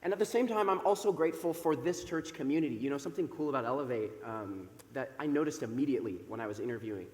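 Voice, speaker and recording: A man in his thirties, a pastor speaking from the pulpit in a church sanctuary through a microphone, loud and chatty with many fillers. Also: medium pitch, quick pace, hardly any pauses. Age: 30s. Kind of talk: sermon. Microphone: microphone over a PA system. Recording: echoey church hall with long reverb.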